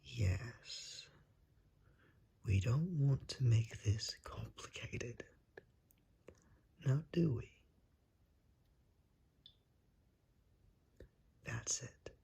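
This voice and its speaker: Someone putting on a slow, whispering, menacing vampire. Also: mid-range voice, animated, mellow, full character voice. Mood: coaxing, hungry menace. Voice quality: breathy, husky whisper with creaky edges. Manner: slow, coaxing, politely threatening. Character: menacing, hungry, courteous vampire, smugly polite. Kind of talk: whispered ASMR roleplay.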